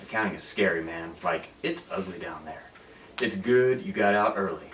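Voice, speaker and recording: Man playing a lively, mischievous young henchman. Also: sits low, normal speed. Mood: teasing. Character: mischievous, friendly henchman in his twenties. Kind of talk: script table read, comedy. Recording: echoey room, distant mic, faint noise.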